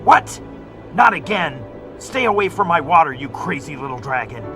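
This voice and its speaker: An actor playing a sneering villain in a low-budget animated film, heard in a clip. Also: male voice, normal speed, soft background music. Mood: annoyed.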